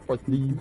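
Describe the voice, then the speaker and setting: A man's voice: on the high side, gravelly, slight background noise. Stammering man on a casual stream. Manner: stammers and repeats words, lots of fillers. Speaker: game streamer. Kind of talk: casual live-stream chat with party friends.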